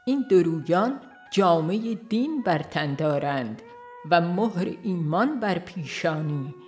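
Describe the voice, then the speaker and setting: A woman reading a devotional book aloud in a slow, earnest, reflective way. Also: lowish voice, animated, a little mellow. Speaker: audiobook reader. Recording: faint instrumental music behind.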